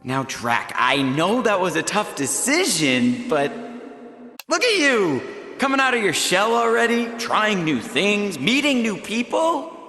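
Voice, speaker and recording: Swooping, flamboyant show host, echoey. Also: male voice, high-pitched, very animated, bright, forceful tone, exaggerated voice. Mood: showman excitement. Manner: showy, drawn-out announcer delivery with dramatic swoops. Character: flamboyant, scheming reality show host and producer. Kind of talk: video game voice acting. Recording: echoey hall reverb with music behind.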